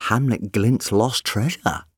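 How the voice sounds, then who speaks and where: Narrator voicing doctor, playful, curious, smug, raised animated pitch, audiobook, dry. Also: moderate pace, breathy edge, dry recording, heavily acted. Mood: curious, pleased. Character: playful, brightly curious doctor, pleased with himself.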